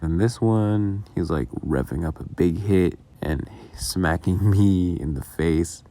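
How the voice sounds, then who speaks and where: A man's voice: sits low, slow, dark tone. A man giving casual, rambling behind-the-scenes commentary in a self-deprecating, casually sweary way. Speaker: audio roleplay creator.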